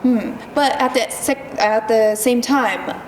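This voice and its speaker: Young japanese woman talking slow and soft in an echoey noisy room, lots of ums. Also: a little high, far from the mic. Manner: soft, thoughtful, slow with many fillers and giggles. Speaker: singer-songwriter guest. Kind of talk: casual interview answers. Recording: echoey convention room with noise.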